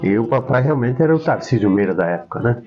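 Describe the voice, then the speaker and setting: A man's voice: medium pitch, lively intonation, fast, highs cut off. An older man with a Brazilian Portuguese accent, an interview guest telling and explaining memories in a casual way. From São Paulo, Brazil. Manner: explanatory storytelling. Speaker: interview guest, professor and author.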